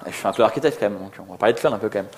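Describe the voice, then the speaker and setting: A man's voice: lowish voice, racing. A man giving an informal tech talk, colloquial and joking, poking fun at himself as he presents. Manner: joking, colloquial, self-deprecating. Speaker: conference speaker, software architect. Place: live talk before an audience.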